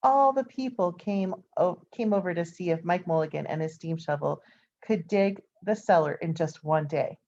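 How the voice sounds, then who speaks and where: A woman reads a children's picture book aloud in a fairly low, very mellow voice. She tells it expressively, with informal asides. The recording is slightly dull and lo-fi. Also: moderate pace, a little hiss or hum. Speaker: storybook reader. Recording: dull, lo-fi sound.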